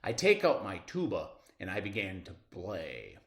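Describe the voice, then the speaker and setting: Man, children's read-aloud, lively varied pitch, drawn-out words, dramatic pauses, roomy echo. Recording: roomy, echoing sound.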